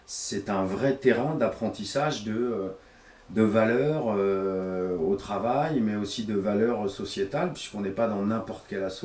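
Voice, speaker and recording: Low-voiced Frenchman of fifty, roomy sound. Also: darkish tone. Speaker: director of a community association. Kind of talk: interview testimonial. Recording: roomy echo, distant mic.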